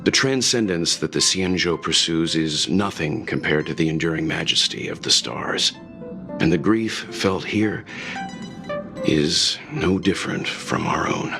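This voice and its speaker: An actor voicing a calm, measured older male mentor in a video game, speaking reflectively. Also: low-pitched, gravelly, exaggerated voice. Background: game music.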